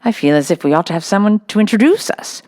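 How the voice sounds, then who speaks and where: A woman voicing a tender, boyish gentleman captain who is a doting father, in a storybook. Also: medium pitch, lively intonation, fast talker, no echo, studio quality, pitched above the performer's own voice, natural voice. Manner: tender, affectionate.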